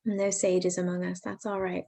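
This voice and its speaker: A woman's voice: lowish voice, completely flat delivery, normal speed, vocal fry, mellow. A woman hosting a virtual parent information session, talking in a friendly, chatty, reassuring way over a video call. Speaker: pre-college program staff host.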